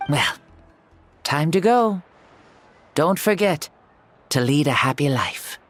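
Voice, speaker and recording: A woman playing a gentle, courteous ancient male hero in a video game, speaking in a serene, reflective way. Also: deep voice, expressive, takes it slowly, full character voice. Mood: tender farewell. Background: music.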